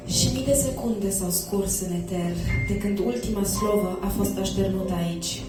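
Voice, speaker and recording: A woman reciting poetry in short, declaimed phrases. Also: mid-range voice. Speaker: poet reciting. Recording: very echoey hall, distant microphone. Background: piano music.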